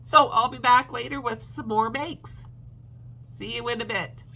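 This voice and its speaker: A woman's voice: fairly high pitch, unhurried, bright, forceful tone. A woman crochet vlogger chatting casually at home, stuffed up and congested, rambling with lots of ums and little laughs. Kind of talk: casual chat to camera.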